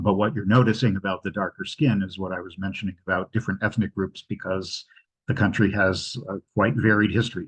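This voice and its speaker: A man's voice: sits low, husky, rough recording. A man presenting on a video call, informally answering questions and thinking aloud in a hesitant way. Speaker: travel presenter. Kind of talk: informal question-and-answer after a travel slideshow. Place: indoors at home, on a video call. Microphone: video call microphone.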